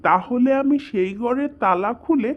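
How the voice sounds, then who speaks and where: A woman's voice: on the high side, lively intonation, moderate pace, phone-quality sound, background noise, close to an everyday voice. A woman with a Bangladeshi accent voicing a grumbling, evasive cartoon father, brusque and teasing in comic dialogue. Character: grumbling, evasive cartoon father dodging his child's demands.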